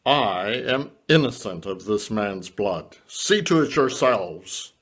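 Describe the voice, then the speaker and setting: A man's voice: on the low side, expressive, slow pace, a bit bright, exaggerated voice. A man playing a Roman governor who addresses a crowd in a declamatory way, in a dramatised scripture reading. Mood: firm disavowal.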